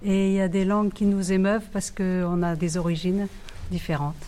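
A woman from France talking in a thoughtful, measured way. Her pitch is ordinary for a woman, and she takes her time with each point. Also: little pitch movement, warm tone. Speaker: workshop participant. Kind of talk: reflective group talk.

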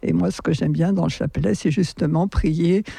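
Gravelly, husky-voiced woman. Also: on the low side, steady tone, normal speed, dry recording. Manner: hesitant, soft-spoken. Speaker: prayer group member. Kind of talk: interview answers.

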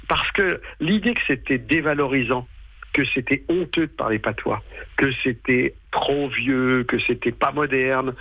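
Male stage performer, sixties, native patois speaker. Gravelly, bright voice, hesitant and colloquial interview talk. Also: mid-range voice, dry recording. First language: western French patois. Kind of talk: casual radio interview.

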